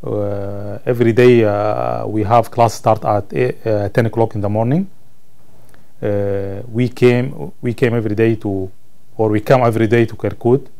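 A Sudanese man whose first language is Arabic speaks English with a Sudanese Arabic accent. His voice is low and very mellow, with a gravelly creak. He answers interview questions haltingly, pausing to search for words. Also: steady tone. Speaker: college ESL student being interviewed. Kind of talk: interview answers about own life. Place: indoor room, seated on camera.

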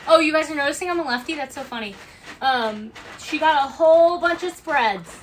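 A chatty woman with an Italian-American Bronx accent talks casually on a livestream, reading out orders and viewer comments. Her voice sits a little high. Steady background noise and clicks from a small office fill the recording. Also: fairly quick, poor audio. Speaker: livestream co-host packing orders.

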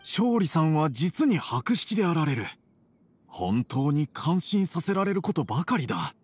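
A man's voice: a little high, sing-song, measured pace, husky, no echo, exaggerated voice. An actor playing a middle-aged tea merchant and father in a Japanese game, speaking with polite, dignified formality. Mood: courteous.

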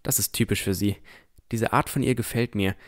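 A cautious boy adventurer, played by a man narrates in the present tense. The voice is fairly low, with a scripted fantasy audio drama delivery full of careful wonder. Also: moderate pace, close to an everyday voice. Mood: watchful.

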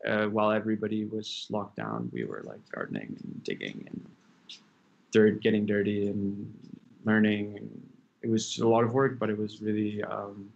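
A man's voice: lowish voice, moderate pace, gravelly, darkish tone, muffled recording. A young man, a chef, answering interview questions casually over a video call from a room at home, rambling with many fillers and restarts. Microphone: laptop webcam on video call.

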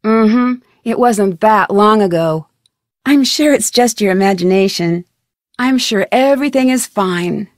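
An actress playing a curious, polite young female detective in an adventure game, asking questions in a clear, inquisitive way. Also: medium pitch, lively intonation, a little slow, no echo, clean studio sound, close to an everyday voice. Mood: friendly, curious. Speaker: video game protagonist. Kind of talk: scripted adventure game dialogue. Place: studio.

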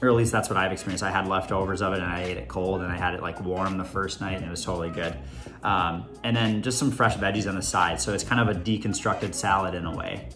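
A man's voice: deep voice, fairly even intonation, quick pace. A young man chatting casually while he cooks in a home kitchen, rambling with playful asides. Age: young adult. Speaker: home cooking vlogger. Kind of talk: casual cooking vlog chat. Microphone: camera on the counter, moving around the kitchen. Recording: clear background music under the voice.

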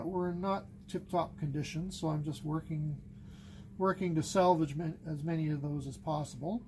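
A middle-aged man giving a casual, unscripted workbench tutorial, thinking aloud haltingly as he works with his hands. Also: a little high, mellow, slight background noise. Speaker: hobbyist demonstrating cassette repair. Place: indoors at a wooden workbench.

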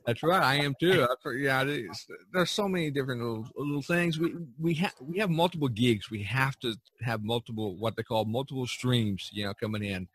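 A man hosting a podcast, chatting casually and haltingly about his work as an artist. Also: medium pitch, a bit bright, husky, no echo.

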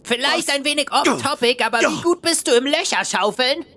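A man voicing a male alien tyrant villain in an anime parody dub, smug, sardonic and condescending, with theatrical drawn-out words. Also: extremely high pitch, expressive, sharp tone, exaggerated voice. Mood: flippant. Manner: sardonic, condescending, drawling for effect. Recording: music behind the voice.

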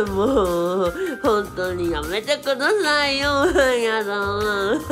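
A woman's voice: on the high side, extremely slow, music in the background. A woman playing a timid, self-pitying young male cult guru who stammers and blusters in a comic radio drama. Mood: bashful, whiny. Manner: stammering, whiny, swinging into blustering rants.

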